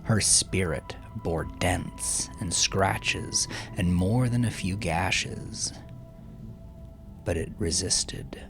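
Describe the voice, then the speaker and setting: Deep gravelly guy reading a dark story, brooding and theatrical, music behind him. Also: extremely slow, in a whisper. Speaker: narrator. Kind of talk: dramatic scripted fiction narration.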